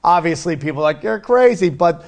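A man's voice: fairly high pitch, lively intonation, fairly quick, gravelly. A middle-aged man talking casually as an interview guest, a comedian who tells things in an emphatic way, repeating himself for effect.

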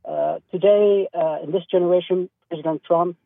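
A man's voice: sits high, steady tone, moderate pace, vocal fry, dry recording. A man from East Africa calling in to a radio show, speaking hesitantly with many fillers and restarts. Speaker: caller. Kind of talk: call-in radio conversation. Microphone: phone. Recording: tinny phone line.

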